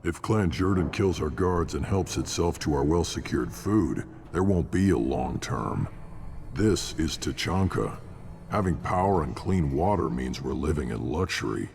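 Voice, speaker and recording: A man playing a gruff, battle-hardened alien scout commander in a video game, speaking bluntly in a gravelly voice. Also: very deep voice, lively intonation, measured pace, darkish tone, heavily acted. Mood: gruff, matter-of-fact. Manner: blunt, matter-of-fact. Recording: long reverb, clear background noise.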